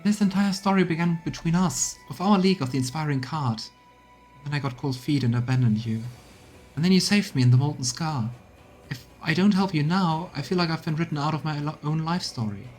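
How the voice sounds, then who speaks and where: Man voicing an earnest, raised-pitch repentant young woman. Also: brisk, a little mellow, faint music behind, close to an everyday voice. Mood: vehement. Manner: pleading, resolute. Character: earnest young woman, remorseful former deserter.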